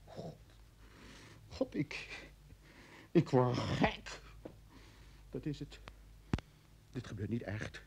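A man's voice: medium pitch, big pitch swings, extremely slow, long pauses, full character voice. An actor in a radio drama playing a panicked, indignant man held by security, stammering as he protests. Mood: despairing. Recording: old radio play with background noise.

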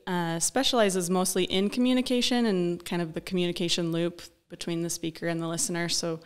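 A young woman, a speech-language pathologist, explaining her work in a relaxed interview, often pausing with "um," recorded on a studio desk mic. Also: lowish voice, smooth. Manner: explanatory, thoughtful, frequent um fillers. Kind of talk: conversational interview. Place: small studio office. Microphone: desk-mounted broadcast mic.

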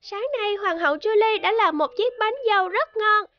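A cheerful little girl princess in an animated cartoon. The voice is extremely high and dry, with a polite, eager storytelling manner. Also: moderate pace, no echo, dull audio. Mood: earnest, proud.

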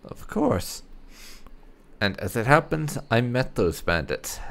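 A man's voice: mid-range voice, sing-song, takes it slowly, vocal fry, fairly bright tone. A man doing playthrough commentary, musing and reading his character's dialogue choices aloud. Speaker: gaming channel host roleplaying his character.

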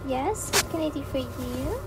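A woman's voice: sits high, lively intonation, moderate pace, loud music behind the voice. A woman playing a polite, obliging flight attendant in a homemade toy-app roleplay. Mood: polite, helpful. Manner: courteous, helpful. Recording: noisy homemade tablet recording.

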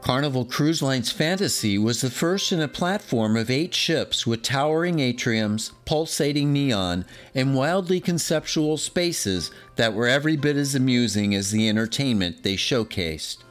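A man in his sixties reading a scripted voice-over in a measured, informative way. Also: mid-range voice, expressive, faint music behind. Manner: measured, informative, fond of the subject. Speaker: documentary narrator.

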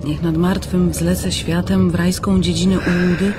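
A woman's voice: fairly low pitch, flat delivery, moderate pace, natural voice. An actress in a radio play voicing an eager, forward Polish woman with a love of romantic verse. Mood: declamatory. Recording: clear background ambience noise.

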